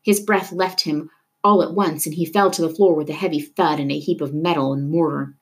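A woman reading a novel aloud in an expressive storytelling style, dramatic at tense moments. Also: sits low, a little fast. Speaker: reader of a children's novel. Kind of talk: expressive read-aloud narration of a book.

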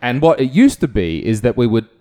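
A man's voice: medium pitch, very animated, fairly quick, warm tone. A man co-hosting a comedy podcast, swearing freely and telling stories with animation. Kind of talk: casual chat.

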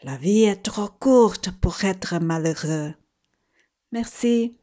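A woman giving a prepared personal message in a deliberate, careful manner. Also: medium pitch, warm tone. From Australia.

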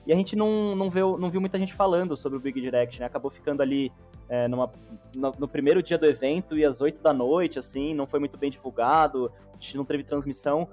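A man hosting a podcast, bringing in the next topic casually and haltingly, with repeated restarts. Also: fairly high pitch, darkish tone, soft background music. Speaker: podcast host. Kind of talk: casual chat.

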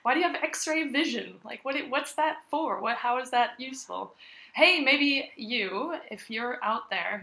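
Woman, podcast co-host. Bright, teasing, giggly delivery, bare echoey room. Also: fairly high pitch. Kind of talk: casual chat. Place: cold apartment full of moving boxes.

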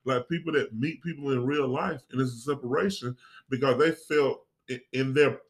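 American man, middling pitch, casual group chat, conversational stage play cast member. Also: normal speed.

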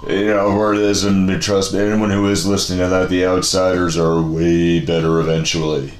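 A man's voice: flat delivery, normal speed, reverberant. A man in his thirties hosting a casual conversation, laid-back and rambling, with plenty of slang and fillers. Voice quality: low voice. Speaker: podcast host.

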